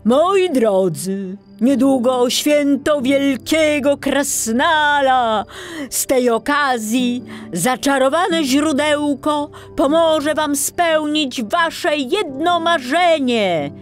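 Solemn, kindly gnome elder played by a woman, high voice, very slow storybook proclamation. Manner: slow, ceremonious. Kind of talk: children's audiobook acting. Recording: music behind the voice.

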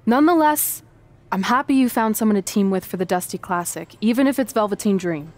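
A woman's voice: mid-range voice, expressive, a little fast, a little mellow, lightly acted. An actress playing a sensible, guarded woman wrestler in a video game cutscene, dryly skeptical. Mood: dry, cautious. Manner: level-headed, dryly skeptical.

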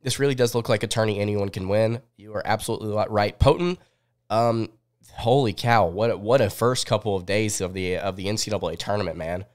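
A man's voice: lowish voice, a little fast. A man in his twenties with a cold, hosting a sports podcast in a casual, informal way, thinking aloud into a close desk microphone. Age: 20s. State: sniffly with a cold. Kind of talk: casual live sports recap chat. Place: small indoor home studio.

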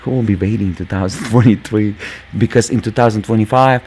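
A man hosting a gaming channel, talking casually over gameplay with a squeaky voice, lots of fillers, and calling viewers "guys". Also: a little fast, a little mellow, slight background noise. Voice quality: squeaky, just-woken voice. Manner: rambling, many fillers, addresses viewers as guys.

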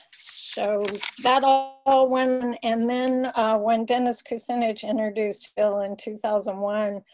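An older woman giving an informal explanatory presentation over a video call, often halting as she searches for words. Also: medium pitch, warm tone. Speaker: guest speaker, peace advocate. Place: small home room, indoors. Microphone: computer webcam on a video call.

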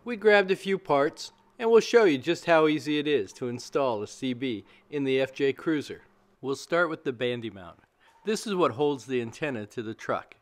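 A man's voice: medium pitch, animated, moderate pace. A man giving scripted how-to narration as the narrator of a DIY video, explaining plainly step by step.

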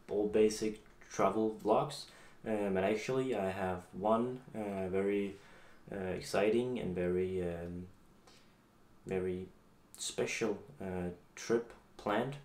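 A seventeen-year-old man from Denmark with a Danish accent, talking casually to the camera in a halting, hesitant way. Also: on the low side, a little mellow. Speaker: YouTube vlogger introducing a channel. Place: small home office room, indoors. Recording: echoey room with faint background noise.

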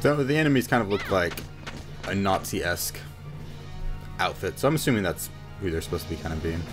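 A man's voice: low voice, lively intonation, brisk. A man giving joking, sarcastic gameplay commentary as host on a headset. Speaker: let's play host. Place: small room indoors. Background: game music.

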